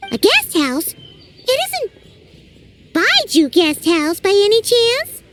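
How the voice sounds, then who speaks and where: A woman voicing a tiny floating fairy companion who talks about herself in the third person, with a high, squeaky, childlike voice. It is game dialogue with loud music behind it. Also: very animated, takes it slowly, heavily acted. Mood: chatty. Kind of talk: game voice acting.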